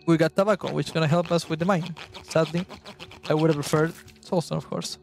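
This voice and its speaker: A man's voice: fairly high pitch, fairly even intonation, fairly quick, creaky, a little mellow, soft background music. Man. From Argentina. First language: Spanish. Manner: chatty, searches for words, swears at the game. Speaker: gaming YouTuber. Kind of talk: gameplay guide commentary.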